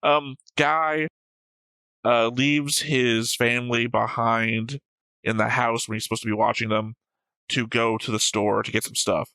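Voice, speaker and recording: A man's voice: medium pitch, level tone, measured pace, bright, forceful tone, clean tone, no room sound, low-quality recording. A man who hosts a reaction show, talking casually and discursively about a series, rambling and swearing freely.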